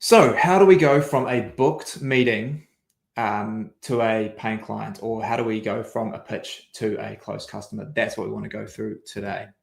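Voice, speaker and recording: A man's voice: fairly low pitch, moderate pace, gravelly. A man with a New Zealand accent, a sales coach giving an upbeat, structured webinar presentation over video call. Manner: upbeat, structured, walks through an agenda. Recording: echoey room audio.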